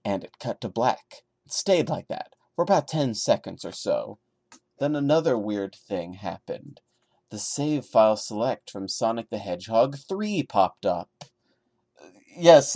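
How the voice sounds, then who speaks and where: A man's voice: mid-range voice, dark tone, dry recording. A man hosting a podcast, giving a dramatic story reading broken up by sarcastic, mocking commentary. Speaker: podcast host.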